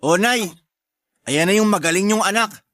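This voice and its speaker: A man's voice: sits high, normal speed, a bit bright, dry recording, studio quality. A man playing a sly, cocky older brother in a Tagalog radio drama, smugly tattling and goading.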